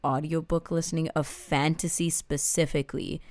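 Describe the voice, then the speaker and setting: A woman's voice: deep voice, flat delivery, moderate pace, a little mellow, dry recording. A woman co-hosting a casual review chat, informal and inquisitive, thinking aloud as she goes. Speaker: audiobook review show co-host.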